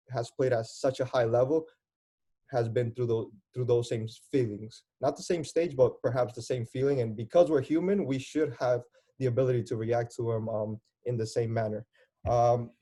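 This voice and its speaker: Mellow-voiced man coaching through an interview. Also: mid-range voice. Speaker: youth soccer coach hosting an interview. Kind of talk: conversational interview in front of young players.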